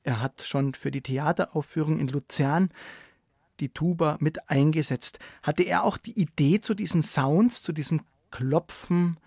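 Male culture radio host, pitch a little above average, interviewing, very dry studio-style sound. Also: a little slow, vocal fry, a little mellow, dry recording.

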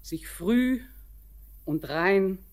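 An actress playing an old blind grandmother in a classic radio play, speaking measured verse. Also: medium pitch, animated. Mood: solemn. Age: older. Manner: slow, measured verse speech.

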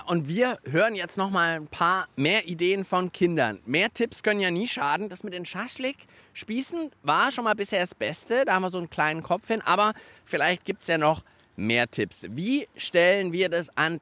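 A middle-aged man hosts a children's radio show outdoors in a park. His voice sits high and swings widely, and he talks chattily, thinking aloud with fillers as he goes. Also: big pitch swings, moderate pace, bright, forceful tone, no room sound. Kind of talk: casual on-location radio chat.

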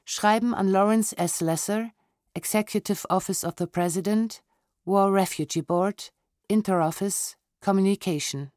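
A woman's voice: medium pitch, unhurried, a little mellow, no echo. A woman with a mid-range voice reads documents aloud for an audio documentary. She is formal and sober and articulates precisely. The recording is dry, with no room sound. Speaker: reader of historical documents. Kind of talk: scripted documentary audio narration, read aloud. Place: dry studio.

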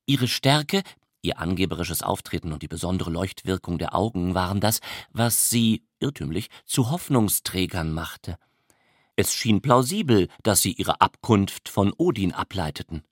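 A man's voice: low-pitched, expressive, measured pace, dry recording. Dry-recorded man narrating a literary tale.

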